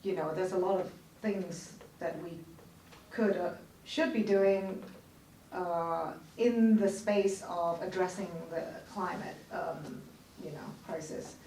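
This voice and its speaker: A woman's voice: on the low side, slow, dark tone, far from the mic. A woman who grew up in Thailand, talking casually in a panel conversation, with lots of "you know" and filler words. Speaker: resident guest. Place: TV studio. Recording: roomy studio heard from off-mic, faint noise.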